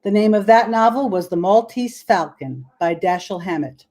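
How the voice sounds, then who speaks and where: Formal woman host, mid-pitched voice. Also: animated, dark tone. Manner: measured, formal. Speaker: theater chairperson and host. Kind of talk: formal scripted welcome address.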